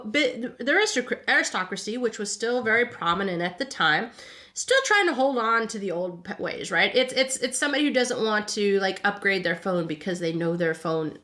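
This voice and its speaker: A woman's voice: medium pitch, moderate pace, bright, forceful tone. A woman hosting a podcast, telling history casually from notes in an informal way, often tagging sentences with "right?" and stumbling over words as she goes. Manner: informal, chatty, frequent "right?" tags; stumbling, self-correcting, repeats words. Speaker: podcast host.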